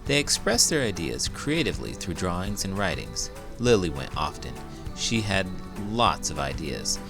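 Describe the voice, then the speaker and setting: Man, wildly varied pitch, gravelly fry, theatrical children's book narration, music behind. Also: moderate pace. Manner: theatrical, expressive, big emotions. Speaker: host, children's book reader. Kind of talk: animated storybook read-aloud.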